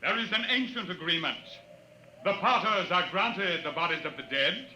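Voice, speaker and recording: Piercingly bright, solemn alien tribesman. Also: high-pitched, expressive, normal speed, full character voice. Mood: solemn, proud. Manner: formal, solemn, ceremonious phrasing. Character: proud, grave alien mountain tribesman, a potter. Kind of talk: 1950s radio drama acting. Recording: noisy vintage radio recording.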